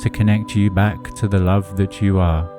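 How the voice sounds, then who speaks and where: A man narrating a hypnotic guided meditation in a soothing, deliberate manner with measured phrasing. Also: low voice, flat delivery. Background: music under the voice.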